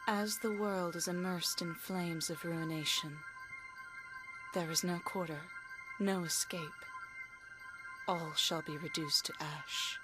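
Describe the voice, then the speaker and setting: An actress playing a grave elven fortune teller in a video game, speaking in a stately, portentous and formal manner. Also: female voice, on the low side, lightly acted. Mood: trancelike, ominous. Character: solemn elven fortune teller, grave adult woman prophetess. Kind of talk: dramatic game cutscene acting.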